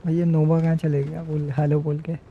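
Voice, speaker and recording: A man's voice: a little high, completely flat delivery, racing, vocal fry, a little hiss or hum. A man with an Indian accent livestreaming a game, chatting casually and reading story text aloud. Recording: dull lo-fi stream mic, music behind. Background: game music.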